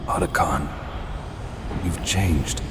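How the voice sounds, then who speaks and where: An actor playing a gruff, gravelly, world-weary male soldier in a dramatic video game, speaking tersely and broodingly. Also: deep voice, fairly even intonation, drawn-out words, background noise. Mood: somber. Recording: loud music behind, long reverb.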